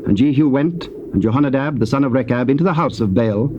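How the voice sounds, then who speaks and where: A male narrator reads scripture in a solemn, measured way. His voice is medium in pitch. The recording is a dull old cassette with clear noise behind the voice. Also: animated. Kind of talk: scripted narration of dramatized King James scripture.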